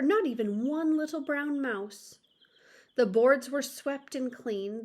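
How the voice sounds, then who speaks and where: A woman reads aloud to children as a storyteller. Her voice sits fairly high and she takes her time, very slowly and expressively. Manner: expressive, addresses young listeners.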